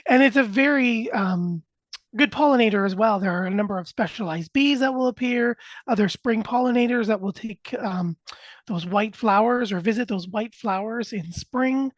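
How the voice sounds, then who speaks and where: A man co-hosting a gardening podcast, calmly explaining plant care with plenty of filler words. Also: high-pitched, moderate pace, no room sound. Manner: informative, steady, filler words. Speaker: podcast co-host, plant expert.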